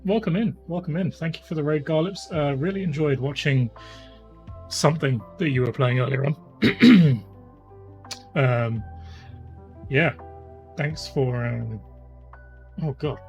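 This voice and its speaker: A man's voice: fairly high pitch, slow pace, gravelly, pauses often, darkish tone. Rambling man hosting a stream. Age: 30s. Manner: rambling, chatty, many fillers, greets viewers by name. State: slightly croaky voice. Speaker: livestream host. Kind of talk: casual live-stream chat. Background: faint lo-fi music.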